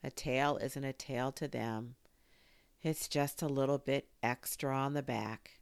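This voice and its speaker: A mournful old donkey grumbles along in a slow, low, gravelly drawl, a woman putting on his voice. He is dry and close to the mic. Every complaint sounds put-upon and resigned. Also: fairly even intonation, no room sound, full character voice. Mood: gloomy complaining.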